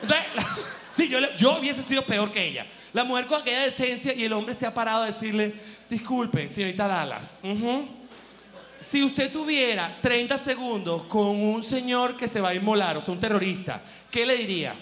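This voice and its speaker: A man's voice: extremely high pitch, animated, moderate pace, fairly bright tone. A man, doing rambling stand-up comedy on a theater stage with a handheld mic, jumping into exaggerated put-on quotes. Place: large theater stage with live audience.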